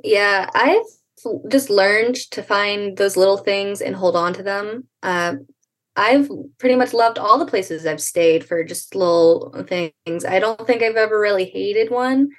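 A young American woman, a podcast guest, talking thoughtfully with frequent ums and pauses. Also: mid-range voice. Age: young adult. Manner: thoughtful, hesitant, many ums. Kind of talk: casual interview.

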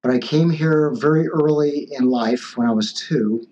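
Halting man in his sixties, muffled audio. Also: on the high side, darkish tone. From born in Taiwan, raised in Los Angeles. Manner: hesitant, many fillers and restarts. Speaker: physician guest. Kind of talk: conversational interview answers. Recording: dull lo-fi audio.

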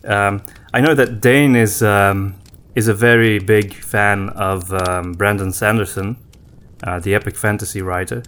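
A man co-hosting a podcast in casual conversation, reflective and often pausing to search for his words. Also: lowish voice, fairly even intonation, a bit bright. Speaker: podcast co-host.

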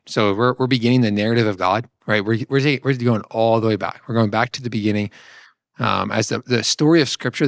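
A man's voice: lowish voice, dry recording, clean studio sound. A man giving conversational Bible teaching, explaining step by step and often checking in with a "right?". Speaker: discussion co-presenter teaching theology.

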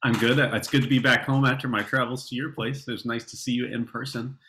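A man's voice: medium pitch, level tone, fast, pushed and bright, slight background noise. A man co-hosting a podcast in easygoing casual chat. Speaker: podcast co-host.

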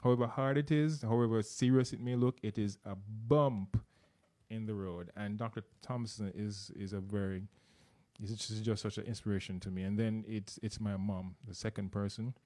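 Low, mellow Jamaican man. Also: fairly even intonation, moderate pace. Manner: earnest, repeats words while searching for them. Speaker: guest. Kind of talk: casual interview.

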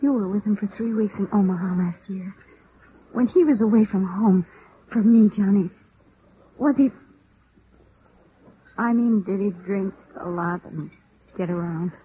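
Woman acting as bitter young American widow, thirties, brooding and intense, mid-pitched, muffled radio drama recording, faint hiss. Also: a little slow, pauses often, close to an everyday voice. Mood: anxious, pleading. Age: 30s.

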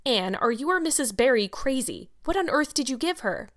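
A woman voicing a stern, practical older guardian with a brisk, curt manner and gruff kindness. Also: sits high, very animated, voice pushed up. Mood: incredulous.

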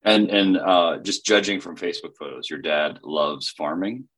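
A man hosting a casual interview, halting and restarting his questions. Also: low voice, a bit bright. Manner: halting, many fillers and restarts. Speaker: podcast host.

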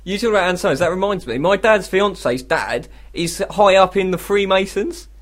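Higher-voiced British man, rambling radio co-host. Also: normal speed. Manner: rambling, giggly, silly. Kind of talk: casual comedic radio banter. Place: radio studio.

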